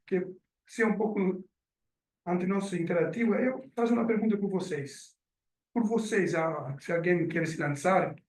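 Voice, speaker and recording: A middle-aged man from Italy, with an accent mixing Italian and French, giving a hesitant business presentation with many fillers. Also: sits high. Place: meeting room. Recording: roomy sound from a distant mic.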